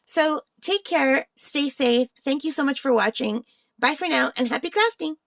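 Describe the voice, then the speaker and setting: A woman's voice: high-pitched, animated, normal speed, gravelly, fairly bright tone, dry recording, clean studio sound. A woman hosting a craft unboxing vlog in a casual, chatty way, thinking aloud, with a slightly tired, under-the-weather voice. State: slightly unwell, voice tiring. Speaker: craft channel host.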